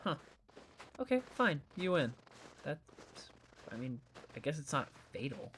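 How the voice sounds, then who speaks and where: Woman playing stubborn skeptical young friend, low voice, lively swings in intonation, dry, flippant, audio drama. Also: a little slow, slight background noise. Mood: dry, casual. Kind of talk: naturalistic audio drama acting.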